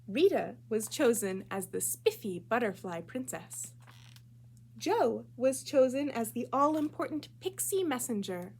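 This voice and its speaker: Female storytime narrator: higher-pitched, animated, clearly phrased for children, slight background noise. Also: a little slow. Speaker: library storytime reader. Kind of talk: expressive children's storybook read-aloud.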